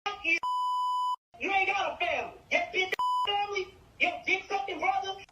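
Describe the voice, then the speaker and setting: A woman's voice: extremely high pitch, moderate pace. An older woman in a heated argument, hostile and confrontational, cursing as she talks. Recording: distant, echoey, very noisy leaked call recording.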